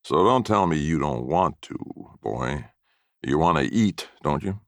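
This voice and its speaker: A man's voice: rumbling low, expressive, a little slow, no room sound, clean studio sound, full character voice. A man voicing a mean, blustering old grandfather with folksy rural speech in a story reading. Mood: bullying, coaxing.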